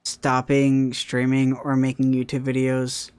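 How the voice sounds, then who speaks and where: A man's voice: medium pitch, completely flat delivery, unhurried, a little mellow, clear, resonant tone. A young man, a content creator, chatting casually about his future, rambling and often repeating himself with "right?". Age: young adult. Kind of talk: casual chat.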